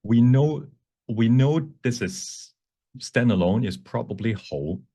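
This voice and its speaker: A man teaching a live software demonstration, explaining step by step in a halting, self-repeating way and switching between two languages. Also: fairly low pitch. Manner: bilingual, switches between English and Cantonese. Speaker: workshop presenter, instructor.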